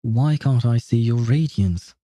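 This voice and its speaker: A man's voice: mid-range voice, measured pace, warm tone, dry recording, close to an everyday voice. A man voicing a proud, wounded young noblewoman athlete from a game story, earnest and desperate to win. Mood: desperate pleading.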